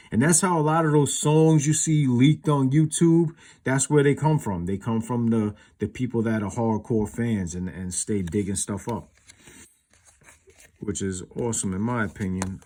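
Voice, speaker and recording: A man's voice: lowish voice, mellow. A man giving a casual, unscripted comparison as a collector hosting his own video, explaining things in an informal, rambling way. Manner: informal, rambling, explanatory, peppered with you knows.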